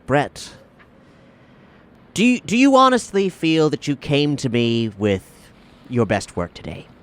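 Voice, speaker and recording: A man's voice: on the high side, wildly swooping pitch, fairly bright tone, full character voice. A man playing a demanding, pompous acting teacher in an improvised audio role-play, theatrical and exasperated, repeating himself for emphasis. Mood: disappointed, stern. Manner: dramatic pauses, repeats himself, pompous, searching for words.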